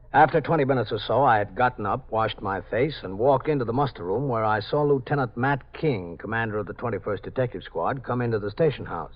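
A man with an American accent playing a weary, steady police captain in an understated 1950s radio drama. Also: mid-range voice, natural voice. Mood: weary, matter-of-fact. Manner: matter-of-fact, understated. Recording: muffled 1950s radio recording.

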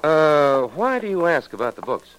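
An actor playing a steady, amiable federal agent in a 1940s radio drama, businesslike and matter-of-fact. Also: male voice, fairly high pitch, moderate pace, smooth, low-quality recording.

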